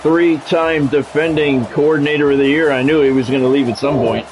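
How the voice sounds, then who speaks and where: A man's voice: a little high, steady tone, a little fast, over music. A middle-aged man hosting a livestream, mixing casual chat with announcer-like football play-by-play commentary. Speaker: livestream host, game commentator.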